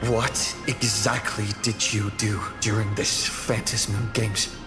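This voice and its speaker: A serious video game character, questioning in a low, flat voice. He speaks slowly over background music. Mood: probing, serious.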